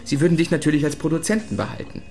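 A man's voice: fairly high pitch, very animated, rapid-fire, creaky, darkish tone, soft background music. An actor playing an understated, hesitant eccentric radio presenter in his thirties, in a German radio drama. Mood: careful, apologetic. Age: middle-aged.

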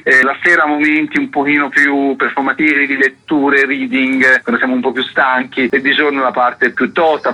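A man's voice: medium pitch, bright, forceful tone. An Italian man speaking as a festival director in a radio interview, explaining things in an earnest, discursive way with filler words. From Italy. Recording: dull, band-limited radio interview sound.

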